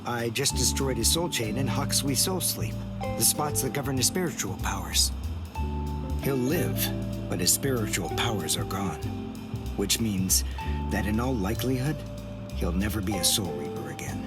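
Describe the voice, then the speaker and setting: A man's voice: lowish voice, expressive, normal speed, loud music behind the voice, close to an everyday voice. A man voicing a proud young warrior in an anime game, composed, formal and condescending. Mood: calm explaining. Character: cool, proud young male archer warrior, disdainful and precise. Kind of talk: anime game cutscene dubbing.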